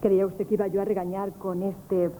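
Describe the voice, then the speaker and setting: Woman playing kind but feisty shopkeeper's daughter, Spain accent, very mellow mid voice, radio-theatre delivery, slightly noisy old broadcast. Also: medium pitch, flat delivery, fairly quick, close to an everyday voice. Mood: indignant. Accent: Spanish from Spain. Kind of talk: 1970s radio comic theatre acting.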